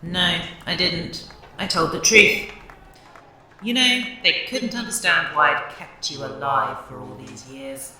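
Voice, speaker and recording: A woman's voice: lowish voice, very animated, slow pace, sharp tone, heavy reverb, far from the mic, exaggerated voice. A woman playing an imperious, manipulative witch villain in an audio horror drama, theatrical and commanding. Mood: smug, coaxing.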